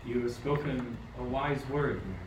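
A man's voice: mid-range voice, moderate pace, close to an everyday voice. A man playing a reverent king in an amateur radio play, speaking solemnly and formally. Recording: distant, echoey room with clear noise.

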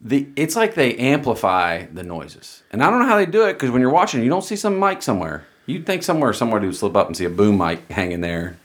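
A man's voice: mid-range voice, sing-song, fast, few pauses. A man co-hosting a podcast in casual chat, blunt and joking, often cutting in on his co-host. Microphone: studio mic close up.

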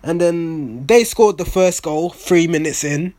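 Hoarse, raspy young man. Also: fairly high pitch, measured pace, dry recording. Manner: excitable, blunt, swears freely. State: hoarse, voice nearly gone. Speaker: football fan vlogger. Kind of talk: casual post-match reaction monologue.

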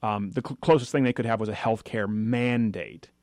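Fast-talking man hosting talk radio. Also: on the low side, a little mellow, dry recording, studio quality. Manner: fast, argumentative, with frequent asides. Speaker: radio talk show host. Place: radio studio.

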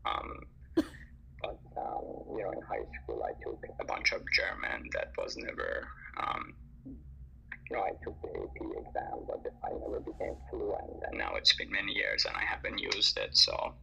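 A man's voice: sits low, very animated, moderate pace, creaky, sharp tone. A man in casual chat, hesitant and full of fillers and restarts, who grew up bilingual and learned English after another language. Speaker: listener joining a live audio discussion. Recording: dull lo-fi online audio with faint noise.

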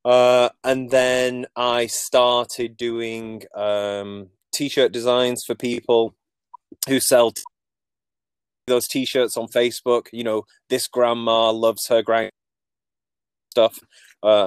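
A man's voice: medium pitch, clear, resonant tone, no room sound. A man in his forties with a Manchester accent, a podcast guest telling stories in a jokey, self-deprecating way. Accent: Manchester English. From England. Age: 40s. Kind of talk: casual interview chat.

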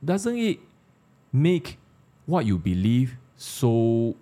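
A man with a Malaysian accent in casual discussion, haltingly repeating words as he thinks aloud. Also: mid-range voice, very animated, warm tone. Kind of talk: casual discussion of social issues.

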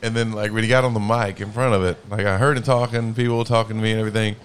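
A man's voice: fairly low pitch, steady tone, rapid-fire, clean tone. A man in a casual chat, cracking crude jokes. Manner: crude wisecracks.

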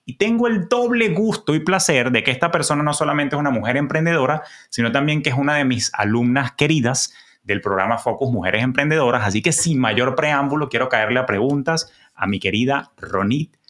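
A man with a Venezuelan accent hosting a personal finance podcast, talking in a lively, colloquial, playful way. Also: mid-range voice, fairly quick. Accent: Venezuelan Spanish. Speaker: podcast host.

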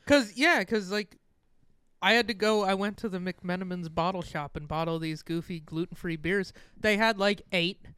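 A young man with a smooth, resonant voice speaks in a dry, teasing tone. He sounds close to the mic in a small studio with no echo. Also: high-pitched, normal speed, sharp tone. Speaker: podcast co-host, comedian. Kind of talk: casual podcast chat. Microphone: studio microphone.

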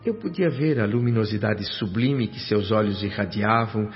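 A male actor plays an educated man's spirit telling of the afterlife in a radio drama. His voice sits low and he narrates with literary reverence and feeling. The sound is a little dull, with faint music underneath. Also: moderate pace, close to an everyday voice. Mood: tender awe. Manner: reverent, literary, emotive storytelling. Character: André Luís, educated male doctor's spirit recounting the afterlife.

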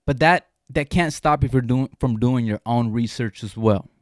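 A man's voice: medium pitch, moderate pace, darkish tone, dry recording. Earnest young man, dry close sound. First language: Spanish. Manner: earnest, emphatic, many restarts. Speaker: podcast host. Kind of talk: casual podcast conversation.

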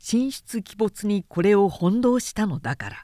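A woman reading a literary story as a dramatic audiobook narrator, in a measured, expressive storytelling manner. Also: medium pitch, no echo, clean studio sound. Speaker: narrator, announcer.

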